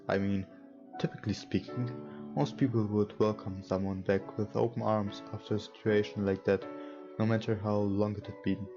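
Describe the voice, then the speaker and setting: Slow, low-voiced man over guitar music. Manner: hesitant, halting, searching for words. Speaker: let's player. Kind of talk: reading visual novel text aloud with casual commentary. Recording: dull lo-fi mic with music behind. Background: guitar game music.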